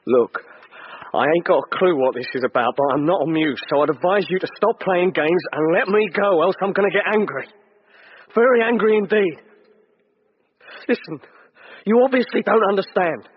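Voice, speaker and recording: A man playing a blustering, panicky English tough-guy captive in a radio drama, with a rough voice. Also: high-pitched, normal speed, long pauses, no room sound. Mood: angry, threatening. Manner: blustering, colloquial. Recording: dull radio recording.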